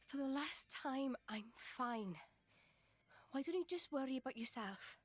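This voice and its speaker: A woman's voice: high-pitched, expressive, a little slow, no echo. A woman playing a feisty, sarcastic and stubborn young woman in a video game, blunt and teasing. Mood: irritated. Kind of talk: video game cutscene acting.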